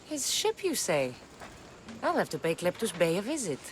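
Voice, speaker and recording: A tough young female mercenary in a video game, speaking with a Greek accent. She is wry, dry and self-assured, and her pitch moves in an animated way. Faint ambient noise sits under the dialogue. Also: moderate pace. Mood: confident. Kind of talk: video game cutscene acting.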